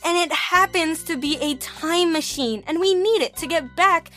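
A woman's voice: very high voice, moderate pace, sharp tone, soft background music. A woman with an earnest, somewhat hesitant manner, playing herself as a guest in an improvised audio adventure for children. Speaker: guest co-star.

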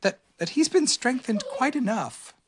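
A shy, stammering little piglet, put on by a parent reading a storybook, tripping over every word. The voice sits high and wavers up and down. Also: lively intonation, normal speed, natural voice. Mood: timid stammering. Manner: stutters, nervous hesitations.

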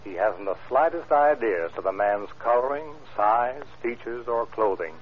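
An actor playing a weary, dryly gloomy small-town sheriff in an old-time radio crime drama. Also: male voice, mid-range voice, lively intonation, moderate pace, close to an everyday voice. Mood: weary, grim. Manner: dry, grim, plain-spoken. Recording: muffled, hissy old radio recording.

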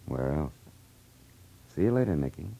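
A man's voice: extremely low pitch, sing-song, normal speed, creaky, loud music behind the voice, exaggerated voice, low-quality recording. An actor playing a wry, hard-boiled American private eye who talks in easygoing tough-guy slang in a 1940s radio drama. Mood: relaxed, wry. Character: hard-boiled, easygoing male private eye, narrating his own case. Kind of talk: 1940s radio detective drama acting and narration.

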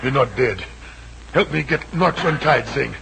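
An actor playing a loyal, stoic Malay sidekick who speaks broken pidgin English in a 1940s radio adventure serial. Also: male voice, medium pitch, expressive, creaky. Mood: urgent, caring. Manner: slow broken pidgin English, plain-spoken. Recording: noisy old radio broadcast.

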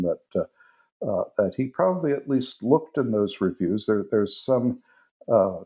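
An older man, an author being interviewed, answering thoughtfully with frequent pauses and fillers. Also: fairly low pitch, warm tone, highs cut off. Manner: thoughtful, hesitant with many fillers. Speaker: author and musicologist guest.

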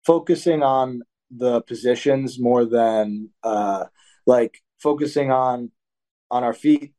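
A man's voice: mid-range voice, slow pace. A young man, a wrestling coach, talking casually in an interview, often restarting and correcting himself, and livening up when he acts out a practice drill. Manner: rambling, self-correcting, animated when acting out drills. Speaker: wrestling coach and athlete, guest.

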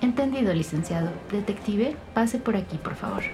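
A woman's voice: mid-range voice, animated, moderate pace, lightly acted. A woman playing a polite, deferential office secretary, courteous and formal, in an audio drama.

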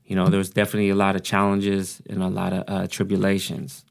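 A man's voice: sits low, completely flat delivery, quick pace, gravelly. A man in his forties, born in the Philippines, speaking as a guest in a casual podcast interview in a laid-back, reflective way. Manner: laid-back, reflective, often says "you know what I mean". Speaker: guest, author.

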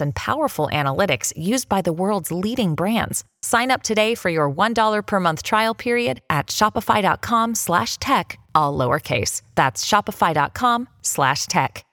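A woman's voice: on the low side, a bit bright, dry recording, clean studio sound. A woman announcer giving a scripted sponsor read. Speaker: advertisement announcer.

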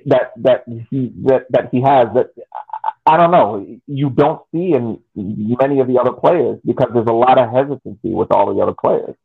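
A man's voice: medium pitch, normal speed, vocal fry, slightly rough. A man co-hosting a casual sports podcast, talking emphatically and repeating himself. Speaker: podcast co-host. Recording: tinny phone-like line.

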